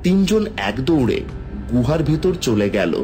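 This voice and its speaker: A man's voice: on the high side, big pitch swings, moderate pace, dark tone. A man reading scripted fairy tale narration for a cartoon. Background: background music.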